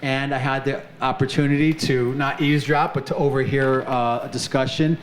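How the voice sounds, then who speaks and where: Upbeat male host working a live stage crowd, mid pitch, roomy sound. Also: fairly even intonation, normal speed. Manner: upbeat, chatty, many fillers. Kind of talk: live show hosting. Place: off-center stage of an arts center, live audience. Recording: echoey room with faint noise.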